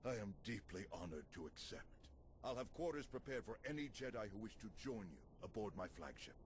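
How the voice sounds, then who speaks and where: A man's voice: on the low side, big pitch swings, fairly quick. A man voicing a grave, stirring military commander in a video game, with a dramatic, oratorical delivery. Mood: sincere. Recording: noisy game audio capture.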